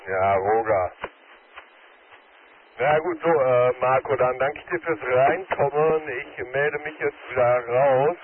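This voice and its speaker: A man's voice: mid-range voice, takes it slowly. A man chatting casually over amateur radio from his car while driving, friendly and with joking sign-offs. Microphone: mobile radio transceiver. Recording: narrow radio band with static and road noise.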